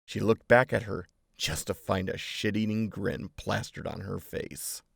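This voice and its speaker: A very deep narrator's voice with heavy gravel and rasp. It is read in a measured, storybook manner, dry and close. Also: steady tone, no echo. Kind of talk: scripted narration.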